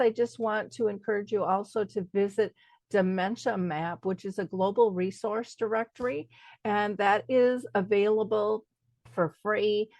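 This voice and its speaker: A woman's voice: medium pitch, no room sound. Upbeat woman hosting a radio show. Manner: upbeat and enthusiastic; friendly with frequent "uh" fillers. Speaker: host.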